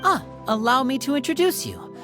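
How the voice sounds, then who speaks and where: A woman voicing a calm, gracious older-sister type host with a gentle, polite manner in a video game. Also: sits high, normal speed. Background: background music.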